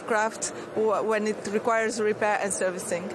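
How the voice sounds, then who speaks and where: A woman's voice: medium pitch, steady tone, moderate pace. A woman in her thirties from Tunisia, a startup founder answering interview questions earnestly, with many restarts and fillers. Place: outdoor expo stand. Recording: clear street noise behind.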